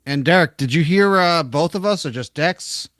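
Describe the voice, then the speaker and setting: A man from New York, a ham radio operator, chatting casually on the air in a loud, talkative voice. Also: fairly high pitch, expressive, normal speed, no room sound, clean studio sound. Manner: loud voice, chatty, laughs often.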